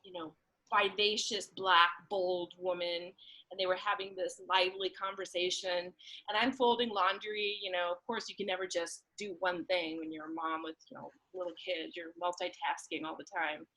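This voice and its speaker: A woman's voice: on the low side, steady tone, normal speed, pushed and bright, poor audio. A woman chatting casually about personal things, informal and emphatic, peppering her talk with filler words like "like" and "you know".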